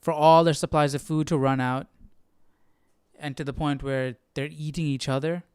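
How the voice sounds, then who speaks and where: Man, smooth clear voice, close dry sound, informal Bible study host, reads then paraphrases offhand. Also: on the high side, steady tone, normal speed, no room sound. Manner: informal, thinking out loud, paraphrasing in slang. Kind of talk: casual read-aloud and commentary.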